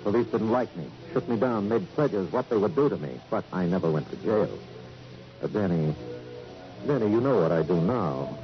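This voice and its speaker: An actor playing a suave, self-possessed former racketeer, coolly genteel and clipped, in a radio crime drama. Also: male voice, lowish voice, expressive, normal speed, natural voice. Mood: unruffled. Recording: old radio drama recording, dull, music behind.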